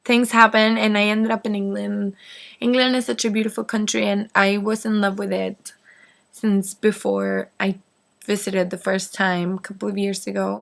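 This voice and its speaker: A woman's voice: medium pitch, creaky, a bit bright. A woman in her twenties from northeast Mexico, a native Spanish speaker, chatting casually and ramblingly to camera in a self-deprecating way. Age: 20s. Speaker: vlogger answering viewer questions.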